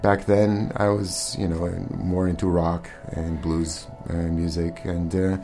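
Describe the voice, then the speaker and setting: Deep-voiced, earnest male musician guest. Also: flat delivery, slow pace, dark tone. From born in Armenia, raised in Montreal. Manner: earnest, hesitant, many ums. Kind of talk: casual interview answers. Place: radio studio. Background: faint ambient music.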